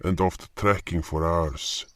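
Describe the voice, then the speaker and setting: A man narrates a fantasy tale in scripted first person. His voice is low, the delivery slow and measured, recorded close and dry with little room around it. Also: fairly even intonation, no echo.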